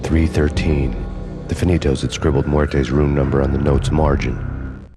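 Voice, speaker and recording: Hardboiled cop narrator: extremely low voice, cynical, world-weary, deadpan, loud game music underneath. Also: male voice, moderate pace. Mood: grim, wry. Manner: deadpan, wry, brooding. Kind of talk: scripted noir narration.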